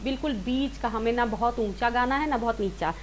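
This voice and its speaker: A woman's voice: on the high side, brisk, slight background noise. A woman teaching a singing lesson step by step, informally rephrasing and repeating her points and mixing in English words. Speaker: singing teacher, series host.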